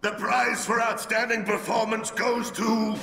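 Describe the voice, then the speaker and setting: Male game villain type: grandiose, theatrical official, bright and gravelly, speaking in a reverberant hall. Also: high-pitched, flat delivery, measured pace, faint music behind, exaggerated voice. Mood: pompous. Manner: pompous, grandiose, flamboyant. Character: pompous, theatrical male company official hosting awards. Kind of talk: ceremonial speech. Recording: echoey public-address hall reverb.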